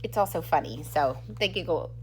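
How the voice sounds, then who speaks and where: A woman's voice: deep voice, expressive, moderate pace, slight background noise. A middle-aged woman, an enthusiastic teacher, giving a casual, giggly book talk to camera. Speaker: second grade teacher presenting book recommendations.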